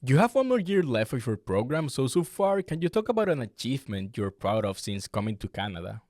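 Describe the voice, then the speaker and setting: A male host asks his questions in a friendly, careful way. His pitch rises and falls a lot. The recording is close and dry. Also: sing-song, brisk, a little mellow, dry recording. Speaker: podcast host, student interviewer. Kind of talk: interview questions.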